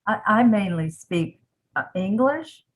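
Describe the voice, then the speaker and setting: A woman's voice: mid-range voice, expressive, slow pace. A woman in a conversation class, speaking in a hesitant, casual way with light laughs.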